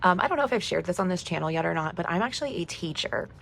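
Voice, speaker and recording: Hoarse, gravelly-voiced chatty woman. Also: lowish voice, animated, racing, fairly bright tone, slight background noise. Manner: chatty, upbeat, laughs often. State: losing her voice, hoarse. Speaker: cash budgeting vlogger. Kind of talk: casual chat to camera.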